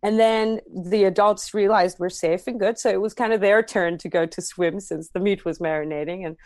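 A woman's voice: medium pitch, steady tone, normal speed, a little mellow, dry recording, studio quality. A woman, a podcast guest telling a personal story in a rambling way. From Armenia.

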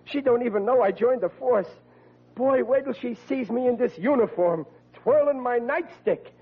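An actor playing a bumbling, excitable young rookie cop in 1940s radio comedy style, stammering in ungrammatical everyman talk. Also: very high voice, normal speed, breathy edge, full character voice. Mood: excited. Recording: tinny old radio recording.

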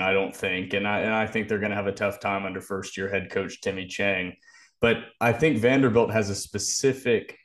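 A man with a fairly low-pitched voice. He is a co-host on a sports interview show. He talks in a conversational style, mixing questions with his own commentary. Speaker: podcast co-host.

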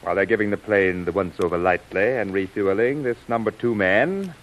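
A man's voice: fairly low pitch, brisk, highs cut off, natural voice. A sinister gang chief from a classic radio crime serial, played by a male actor. He is sardonic and commanding, and he lays down orders in tough underworld slang with open scorn. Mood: scheming, commanding. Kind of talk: old-time radio crime serial acting.

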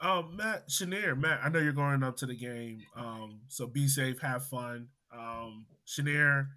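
A man's voice: medium pitch, a little slow, sharp tone. A man hosting a fan podcast, upbeat and joking as he wraps up the show. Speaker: podcast host. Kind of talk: casual podcast chat.

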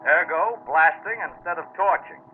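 An actor playing a brisk, businesslike salvage skipper in an old American radio drama, clipped and commanding with an American accent. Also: male voice, fairly high pitch, slight background noise, lightly acted. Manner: clipped, commanding, nautical turns of phrase. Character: brisk, businesslike male salvage skipper chasing sunken gold. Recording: muffled, narrow-band old radio recording.

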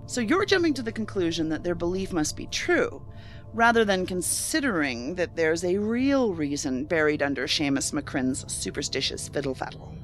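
An actress playing a skeptical, sharp-tongued federal agent in a science fiction audio drama, blunt and challenging with a sardonic edge. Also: female voice, on the low side, animated, normal speed, natural voice. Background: music under the voice.

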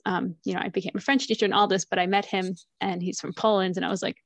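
A woman in her thirties hosting a podcast, with a gravelly vocal fry under a mid-range voice. She jokes lightly and asks curious questions, and the sound is dry and close with no room echo. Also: medium pitch, fairly even intonation, fast. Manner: friendly, jokey, curious questions. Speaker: podcast host. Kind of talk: casual interview chat.

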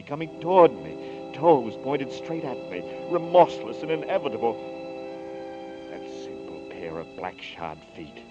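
A man's voice: low-pitched, natural voice. An actor playing a haunted young man accused of murder, narrating and pleading with intense, desperate energy in a 1940s radio drama. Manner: intense, rising to desperate outbursts. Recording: dull lo-fi 1940s radio broadcast. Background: dramatic orchestral music.

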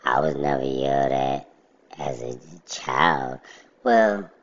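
A man talking casually in a steady, plainspoken monologue, describing his own even, monotone way of speaking. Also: rumbling low, slow. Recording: dull lo-fi recording.

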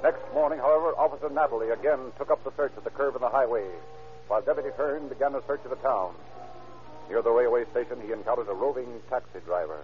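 A man's voice: mid-range voice, fast, faint music behind, natural voice. A man performing as a formal, dramatic narrator of an old radio crime drama. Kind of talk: scripted 1930s-40s radio narration. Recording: tinny old radio recording.

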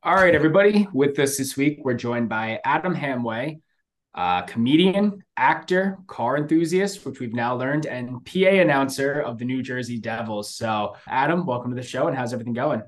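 A man co-hosting a podcast, chatting casually and telling stories in a slangy, animated way. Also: mid-range voice, moderate pace, soft background music. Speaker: podcast co-host.

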